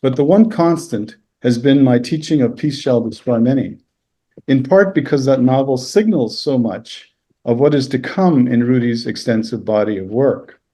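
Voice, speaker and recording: Man, native Low German speaker, dark soft tone, middle pitch, lecturer, prepared speech, measured formal delivery. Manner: measured, formal, scholarly phrasing. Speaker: university lecturer, panel speaker. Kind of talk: prepared reflection read aloud at a book launch.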